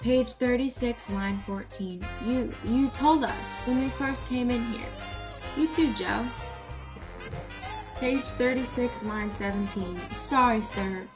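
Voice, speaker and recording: A woman's voice: on the high side, slow, poor audio. A woman acting in an old-time radio play. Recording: school radio play with music behind.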